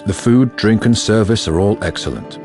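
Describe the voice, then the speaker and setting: Actor as deep male crime narrator over music. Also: moderate pace, darkish tone, natural voice. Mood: dry, sober. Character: sober, wry male narrator of a crime story. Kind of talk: scripted dramatic narration.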